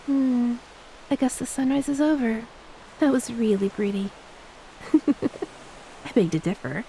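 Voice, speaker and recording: Mellow, affectionate ex-mermaid wife, acted by a woman. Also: on the high side, slow, low-quality recording. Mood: affectionate, teasing. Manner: tender, teasing, speaks softly to a beloved spouse. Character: affectionate, homesick ex-mermaid wife, gentle and playful. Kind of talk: romantic audio roleplay, intimate scripted acting. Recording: steady hiss behind the voice.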